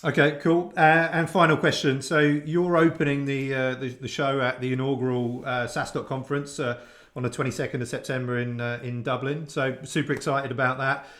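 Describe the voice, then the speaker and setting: A man with an average-pitched voice hosts an interview over a video call. His questions are casual and hesitant. He breaks his phrases often with fillers. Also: little pitch movement, fairly quick, fairly bright tone. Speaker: interviewer, conference organiser.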